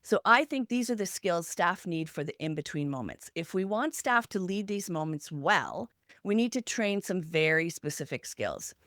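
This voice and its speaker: A woman podcast host with a slightly low voice and animated intonation. She presents prepared points with deliberate emphasis, item by item. The recording is dry, with little room sound. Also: no echo. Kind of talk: podcast talk from prepared notes.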